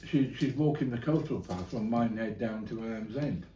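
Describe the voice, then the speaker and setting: A Welsh man, an art critic, talking in animated, stumbling, sweary banter at a dinner table. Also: fairly low pitch, moderate pace, far from the mic. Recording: echoey room, off-mic, faint noise.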